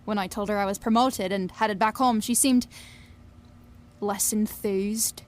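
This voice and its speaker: A woman's voice: mid-range voice, fairly even intonation, unhurried, lightly acted. An actress playing a brash, wistful young woman in an audio drama, confiding and wry, with plenty of swearing. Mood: wry, longing. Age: young adult. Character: Billie, brash, wistful young Scottish woman obsessed with fairies. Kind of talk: audio drama narration and dialogue.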